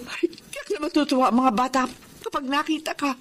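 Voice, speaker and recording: A woman's voice: high-pitched, fairly even intonation, fairly quick, a bit bright. A woman playing a devout, grateful wife and mother in an earnest, emotive Tagalog radio drama style. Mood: relieved, thankful. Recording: faint background noise.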